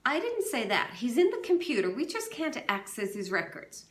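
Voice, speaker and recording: Woman doing a flustered official woman, high, loud, echoey room. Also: very animated, moderate pace. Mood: defensive. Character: evasive official woman, defensive.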